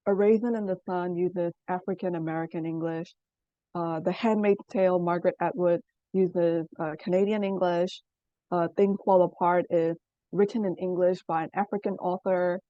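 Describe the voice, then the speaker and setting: A young woman from Burma, a native Burmese speaker, giving a student presentation in a measured, explanatory way with frequent pauses. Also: fairly low pitch, no echo, lo-fi sound. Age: young adult. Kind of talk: class presentation.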